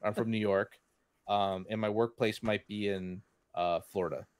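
A man's voice: on the low side, steady tone, moderate pace. A man running a tabletop game on a video call, rambling with fillers and laughing often. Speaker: game master. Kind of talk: casual tabletop game chat. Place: home room on video call.

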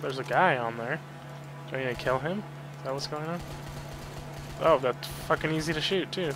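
A man's voice: on the high side, normal speed, noisy background. A man giving casual gameplay commentary, reacting with grumbling and swearing as he plays. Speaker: video game player.

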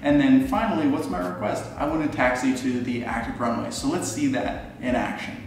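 A man's voice: medium pitch, fairly quick. An American man in his twenties giving an instructional explanation to camera as a student pilot, recorded in a living room. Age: 20s. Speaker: student pilot presenting a tutorial. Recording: roomy, echoey, distant, faint noise.

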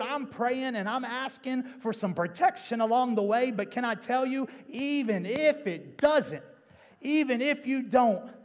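Young male preacher, high-pitched, emphatic and repetitive delivery, reverberant auditorium. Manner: emphatic, repeats key phrases for effect. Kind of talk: church sermon to a congregation. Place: large church stage indoors. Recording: reverberant church auditorium sound.

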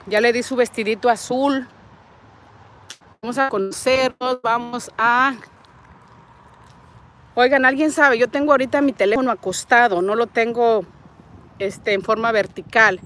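A chatty, affectionate Mexican woman in her forties greets her livestream viewers one by one. Her voice sits a little high, and she talks into an earbud mic while walking outdoors. Faint traffic hums behind her. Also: steady tone, a little slow, long pauses, fairly bright tone. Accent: Mexican Spanish. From Nayarit, Mexico. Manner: chatty and affectionate, reading out comments. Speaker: vlogger hosting a livestream. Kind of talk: casual live chat, greeting viewers. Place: outdoors in a parking lot and a street. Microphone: wired earbud mic, phone at arm's length.

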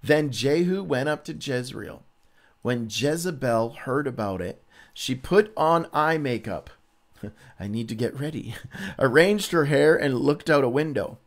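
A man's voice: mid-range voice, animated, a little slow. Man reading scripture aloud with casual commentary. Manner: informal, dramatizes quoted speech. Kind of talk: animated Bible read-along with casual commentary.

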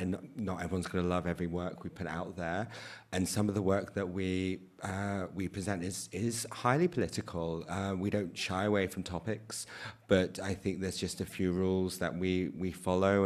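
A man speaking on a live panel discussion on stage, measured and considered, into a stand microphone. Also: sits low, fairly even intonation. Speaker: festival director, panelist. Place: stage in a theatre.

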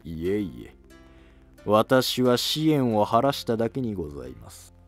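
A man voicing a humble, resigned elderly scholar-official in an animated historical drama, courteous, measured and wry. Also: lowish voice, soft background music.

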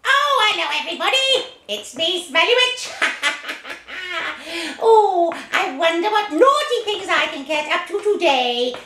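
A woman's voice: very high voice, big pitch swings, normal speed, few pauses, sharp tone, exaggerated voice. A woman voicing a gleeful, mischievous witch for children, cackling and teasing in a singsong way. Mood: gleeful scheming. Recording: echoey room with faint noise.

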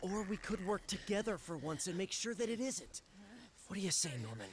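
A man's voice: sits high, very animated, brisk, slight background noise. A man voicing a youthful, quippy superhero in a video game, with a wry, upbeat manner. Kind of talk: video game cutscene acting.

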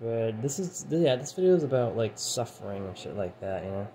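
A man musing aloud in a loose, rambling monologue, swearing casually and tagging lines with "you know", his voice going hoarse. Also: fairly low pitch, sing-song, fairly quick, dark tone. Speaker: channel host. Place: his room, indoors. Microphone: phone set down nearby. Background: heater hum.

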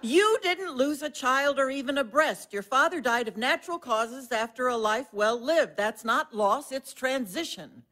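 An imperious, high-voiced widowed mother. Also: expressive, normal speed, few pauses, bright, forceful tone. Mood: cold, cutting. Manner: imperious, clipped, precise, disdainful. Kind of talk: audio play acting.

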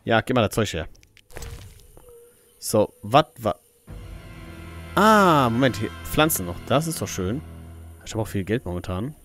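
A man's voice: on the low side, sing-song, measured pace, pauses often, fairly bright tone. Male let's play host: casual, playful, swinging intonation, self-directed muttering, faint music underneath. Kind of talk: casual gaming commentary. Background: faint game music.

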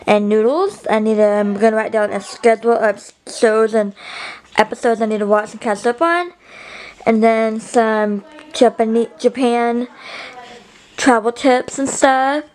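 A woman's voice: a little high, moderate pace, darkish tone. A young woman vlogging casually in her bedroom, talking to a handheld camera held close to her face, rambling with lots of fillers and restarts. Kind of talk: casual vlog chat to camera. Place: small bedroom, indoors.

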